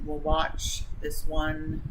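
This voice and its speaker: A woman's voice: deep voice, completely flat delivery, drawn-out words, noisy background. A woman hosting a livestream, chatting casually with viewers in a rambling way and losing her thread. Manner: rambling, loses train of thought. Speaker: livestream host.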